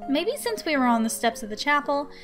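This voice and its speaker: Visual novel narrator read by a woman, first-person, wry and anxious, high pitch over music. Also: sing-song, fairly quick. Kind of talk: scripted narration read aloud.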